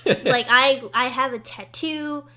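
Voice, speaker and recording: Excitable gushing young woman podcaster. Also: sits high. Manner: excitable and gushing, quick to say she will cry. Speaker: podcast co-host. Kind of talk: casual chat.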